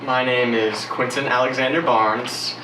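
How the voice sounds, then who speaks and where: A man's voice: medium pitch, steady tone, measured pace. 20 yr old guy, cocky and playful, roomy far-off mic. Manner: playful, boastful. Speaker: study participant. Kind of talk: casual on-camera interview. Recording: echoey room, distant mic, noisy.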